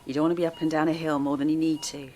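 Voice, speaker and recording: An actress playing a calm low-voiced helper. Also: female voice, rapid-fire, slight background noise, natural voice. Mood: reassuring. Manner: calm, reassuring, gently persuasive. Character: kind, steady mother Alice, calm and reassuring. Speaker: stranger who helps. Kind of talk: audio drama acting.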